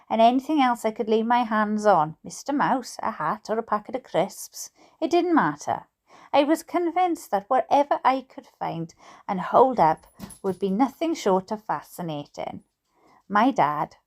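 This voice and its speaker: A Welsh woman reading a storybook aloud in an animated, amused manner. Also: a little high. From Wales. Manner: animated, amused, prone to giggling. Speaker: reader of a bedtime book. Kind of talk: storybook narration read aloud.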